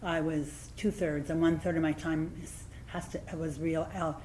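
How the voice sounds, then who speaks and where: A woman working as the commission's clerk, explaining her job in a halting way. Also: sits low, level tone, a little mellow, a little hiss or hum, poor audio. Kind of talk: public meeting discussion.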